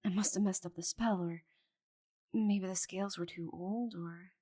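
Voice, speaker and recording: A woman voicing a proud, stubborn, bitter female dragon in a dramatic audio roleplay, with a breathy voice and haughty, sighing delivery. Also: lowish voice, fairly even intonation, moderate pace, dark tone, natural voice. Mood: anxious. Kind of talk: scripted audio roleplay monologue.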